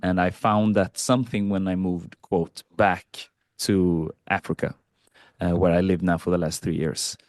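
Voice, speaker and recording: A man from Sweden in a reflective, casual conversation, talking haltingly and repeating phrases as he thinks. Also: deep voice, fairly even intonation, darkish tone, dry recording, studio quality. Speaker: podcast participant.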